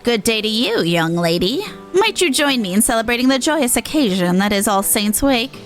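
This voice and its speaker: A woman's voice: a little high, very animated, normal speed, faint music behind. Woman putting on a theatrical monster clown. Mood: courtly. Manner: theatrical, old-fashioned courtly phrasing. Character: male monster clown, gruff-named, theatrical, eager to scare.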